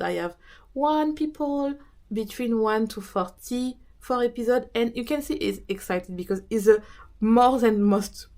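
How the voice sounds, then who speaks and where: A woman with a French accent, a native French speaker, talking casually about consistency as a podcast host and coach, often pausing and restarting as she searches for words. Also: mid-range voice, darkish tone. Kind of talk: casual talk to camera.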